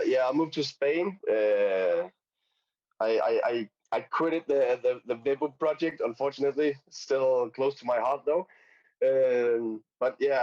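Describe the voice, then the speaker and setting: A man from Denmark, a podcast guest who talks haltingly, repeating words and using many fillers. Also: medium pitch, a little mellow. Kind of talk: casual interview chat. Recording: lo-fi podcast audio.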